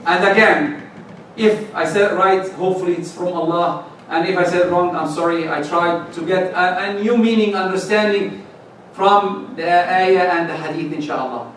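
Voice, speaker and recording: A man giving a sermon in a mosque, moving between loud exhortation and soft pleading. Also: high voice, moderate pace, slight background noise. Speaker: imam giving a Friday sermon. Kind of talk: sermon to a congregation. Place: mosque prayer hall. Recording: echoey hall, mic far off.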